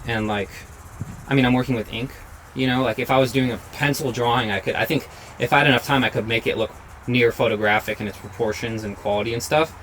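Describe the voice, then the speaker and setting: A man's voice: medium pitch, steady tone, fast talker, a bit bright, low-quality recording. A young man hosting a chat while he draws, talking casually and easily, with many "like"s. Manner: easygoing, fills his talk with "like". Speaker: host and portrait artist. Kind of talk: casual conversation while drawing. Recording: home recording with faint noise.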